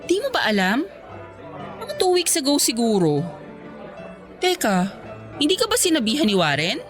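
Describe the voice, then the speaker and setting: A woman's voice: high-pitched, huge pitch swings, normal speed, faint music behind. An actress playing a chatty, candid single career woman, breezy and teasing with her friend in radio drama dialogue. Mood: hesitant, gossipy.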